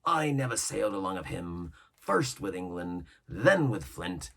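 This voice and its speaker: A man's voice: low voice, very animated, unhurried, gravelly, fairly bright tone, exaggerated voice. A man voicing a scheming older pirate cook who talks in a coaxing, boastful seaman's way.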